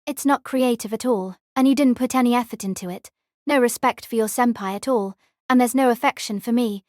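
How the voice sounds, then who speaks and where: A woman playing a cold, deadpan young senpai. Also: fairly high pitch, a little fast, no room sound, clean studio sound, close to an everyday voice. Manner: flat, cold, demanding. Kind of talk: amateur audio drama acting.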